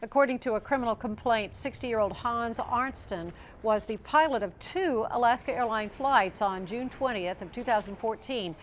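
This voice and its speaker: A woman's voice: a little high, normal speed, hardly any pauses, a little hiss or hum. A woman anchoring a television newscast, reading scripted stories in a formal news delivery.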